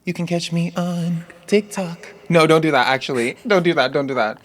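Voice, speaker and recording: Low-pitched, playful woman chatting. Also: moderate pace. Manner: animated, playful, emphatic, slangy. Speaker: podcast guest, athlete and author. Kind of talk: casual chat.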